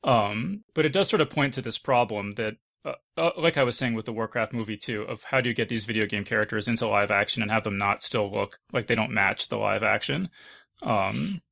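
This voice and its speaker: Male podcast panelist, creaky voice, close dry sound, conversational. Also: on the low side, fairly quick, pushed and bright, no echo. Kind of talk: casual film discussion.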